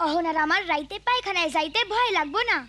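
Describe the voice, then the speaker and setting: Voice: extremely high pitch, animated, quick pace, pushed and bright, a little hiss or hum, heavily acted. A performer voicing a playful, grumbling little village boy with a Bangladeshi accent in a children's cartoon. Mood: curious. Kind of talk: children's educational cartoon acting.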